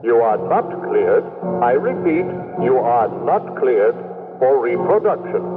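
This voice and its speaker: A cold, official machine intones procedures with sweeping pitch. The voice rings in long reverb on a thin, phone-like old radio drama recording, issuing formal notices to the citizens it governs. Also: male voice, very animated, unhurried, noisy background. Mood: officious. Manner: formal, clipped, procedural announcements. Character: official automated state machine announcer, male, coldly formal. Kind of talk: 1980s radio drama acting.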